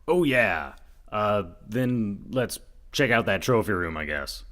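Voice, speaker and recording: A man's voice: lowish voice, expressive, slow pace, a bit bright. A man playing an earnest, overconfident and slightly dim reporter detective in a comic radio mystery, delivered dramatically. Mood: earnest, deadpan. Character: earnest, dim, self-assured young male reporter detective. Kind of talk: comedic old-time radio mystery acting.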